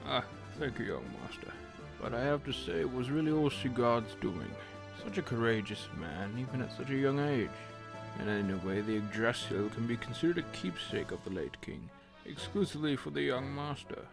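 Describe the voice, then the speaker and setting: A gravelly old male retainer, voiced by an actor in a radio drama, loyal and deferential. His pitch moves freely over a backing score, and every phrase is formal and humble. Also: animated, normal speed. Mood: humble, devoted. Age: older. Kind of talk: fan radio-play acting. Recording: music behind the voice.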